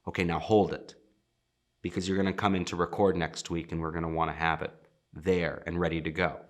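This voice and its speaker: Deep-voiced man in an interview. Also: flat delivery, brisk. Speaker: theatre production staff member. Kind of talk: explanatory interview talk.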